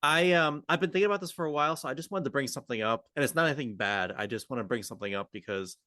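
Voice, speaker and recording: A man's voice: mid-range voice, racing, pushed and bright, no room sound, clean studio sound. A man co-hosting a coaching discussion, talking informally and thinking aloud as he goes. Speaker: podcast co-host and communication coach. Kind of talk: conversational coaching discussion with roleplay.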